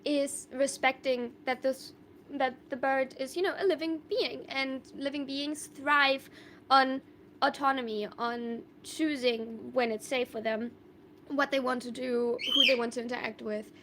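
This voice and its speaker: A young woman from Germany with a high, light voice talks on a live stream. She answers viewer questions in a loose, thoughtful ramble full of fillers and restarts. Faint room ambience sits underneath. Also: steady tone, measured pace, fairly bright tone, a little hiss or hum. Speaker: livestreamer.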